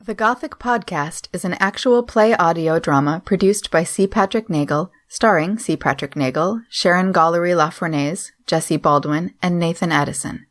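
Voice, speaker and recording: Woman, podcast announcer, scripted credits read, lower-pitched voice. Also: normal speed, few pauses.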